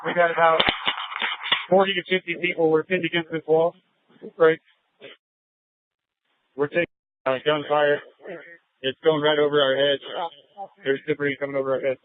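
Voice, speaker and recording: A man's voice: a little high, flat delivery, normal speed, long pauses, phone-quality sound. A male police officer giving urgent field reports over a police radio scanner.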